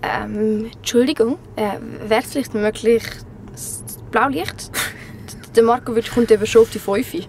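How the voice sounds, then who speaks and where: A woman's voice: on the high side, fairly even intonation, noisy background. A woman playing an anxious, streetwise schoolgirl in a children's radio drama, stammering in Swiss German slang. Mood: sheepish, pleading. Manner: stammering, halting, youthful slang.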